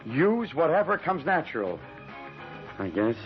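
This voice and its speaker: A man's voice: on the high side, big pitch swings, unhurried. An actor playing a desperate, guilt-ridden working man in an old-time radio drama, weary and agitated. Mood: weary, guarded. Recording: dull lo-fi radio recording with music.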